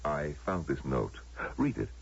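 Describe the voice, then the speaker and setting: An actor playing a commanding otherworldly tempter in an old-time radio drama, theatrical and insinuating. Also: male voice, rumbling low, sing-song, measured pace, creaky, slightly rough, full character voice. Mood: sardonic. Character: commanding otherworldly tempter luring a woman back to paradise. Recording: noisy muffled old radio recording.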